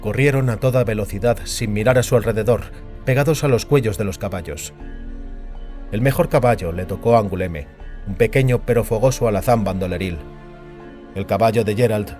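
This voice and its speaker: Male audiobook narrator, low voice, dramatic storytelling delivery, soft music underneath.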